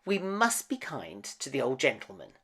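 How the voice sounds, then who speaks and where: A man voicing a kindly, motherly talking teapot lady in a gentle, coaxing manner. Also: medium pitch, huge pitch swings, moderate pace, vocal fry, slightly rough, heavily acted.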